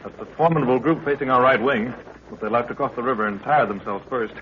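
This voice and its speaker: An actor playing a dutiful young officer, respectful and matter-of-fact, in a 1930s radio drama. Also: male voice, mid-range voice, fast talker, a little hiss or hum, lightly acted. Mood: respectful, businesslike. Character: dutiful young officer, earnest, tender sweetheart. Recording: muffled 1930s radio recording.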